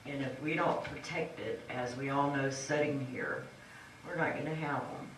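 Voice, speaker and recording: A woman's voice: very deep voice, moderate pace. A woman candidate delivers a fiery, folksy campaign speech with emphatic punches. She is heard from a distance in a very echoey hall. A faint hiss runs underneath. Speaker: candidate for county supervisor. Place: large echoey meeting hall.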